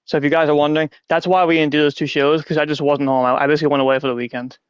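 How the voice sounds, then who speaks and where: A man's voice: on the high side, level tone, very fast, smooth, dry recording. A man with a stuffy nose who is coming down with a cold, hosting a casual wrestling review chat at home in a rambling, sarcastic way. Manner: rambling, sarcastic, often annoyed. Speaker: podcast host.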